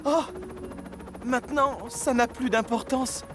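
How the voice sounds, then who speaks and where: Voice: high voice, fairly even intonation, slow. An actor voicing an earnest, touchy young male steam engine in a French cartoon, emotional and plaintive. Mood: dejected. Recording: music behind the voice.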